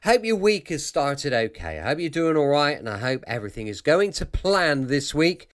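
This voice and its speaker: A man's voice: fairly high pitch, sing-song, normal speed. A British man hosting a late-night podcast with informal, reassuring, chatty patter. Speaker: podcast host.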